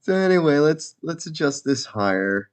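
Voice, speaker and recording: A man giving a casual, informal software demo as a developer presenting his own application. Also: on the high side, very animated, normal speed, clean tone. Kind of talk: casual screen-share demo narration. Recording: dull lo-fi mic.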